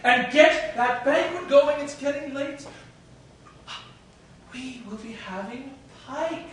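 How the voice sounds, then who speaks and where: An actor playing a domineering, cruel old king, with a theatrical delivery that swings between booming shouts and low, menacing mutters. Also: slow, full character voice. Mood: scheming. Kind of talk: stage drama acting. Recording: echoey, distant stage recording with faint noise.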